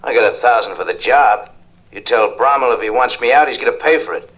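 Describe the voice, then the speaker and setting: A man's voice: mid-range voice, fairly quick, natural voice. Man acting a cocky, dull-sounding hired killer. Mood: stubborn, cocky. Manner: tough, slangy, unbudging. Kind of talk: 1950s radio drama acting. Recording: dull vintage radio, phone conversation.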